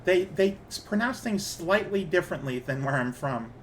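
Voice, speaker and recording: A chatty man talks casually while he plays a game, wandering from thought to thought and restarting sentences. His voice sits a bit high for a man. A faint hiss runs underneath. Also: expressive, normal speed. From Canada. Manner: rambling, chatty, restarts a lot. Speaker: streamer. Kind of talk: casual chat while gaming.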